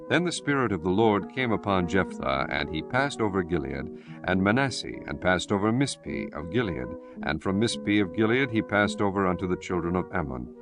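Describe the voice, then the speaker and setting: A man narrating a dramatized scripture audiobook in a solemn, measured reading style. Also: low voice, animated. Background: music.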